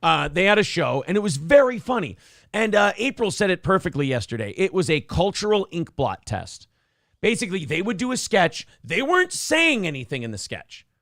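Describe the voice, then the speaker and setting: A man with a gravelly, slightly high voice hosts a casual morning talk show. His throat is dry, and he riffs in a blunt, profane, opinionated way. The mic is close and dry, with no room sound. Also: sing-song, brisk, a bit bright, no room sound. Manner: profane, opinionated, acts out quotes in raised voices.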